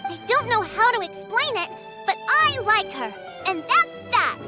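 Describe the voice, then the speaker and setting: A woman's voice: very high-pitched, very animated, full character voice. An actress voicing a feisty, stubborn little girl who speaks up defiantly in a game. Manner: defiant, slow and emphatic. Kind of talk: game voice acting. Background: loud game music.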